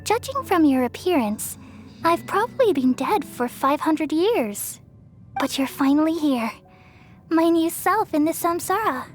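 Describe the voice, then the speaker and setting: A serene, motherly goddess of great age speaks in a fairly high voice. She is wise and solemn, reassuring in a measured game-drama delivery. Music plays clearly behind her. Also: expressive. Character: gentle, wise ancient tree goddess, serene and maternal.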